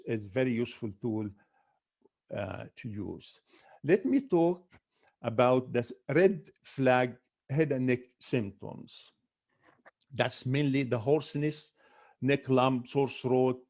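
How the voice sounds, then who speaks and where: A man's voice: mid-range voice, darkish tone. A man, an ENT doctor, giving a medical webinar lecture, listing symptoms one by one with careful restarts.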